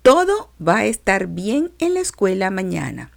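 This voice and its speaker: Brief: a woman playing a tender mom reassuring her child, slow and lilting. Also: mid-range voice, very animated, vocal fry. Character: gentle loving mother comforting her daughter.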